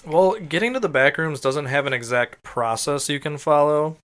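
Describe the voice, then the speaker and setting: Man, smooth clear voice, podcast co-host; relaxed explainer delivery, casually profane. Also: a little high, normal speed. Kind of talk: explainer read from notes mixed with casual banter.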